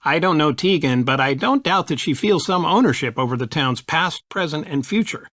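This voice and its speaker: A middle-aged American father in a video game. He is affectionate and level-headed, advising calmly and thoughtfully in a dry, close recording. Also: a little high, normal speed, no echo.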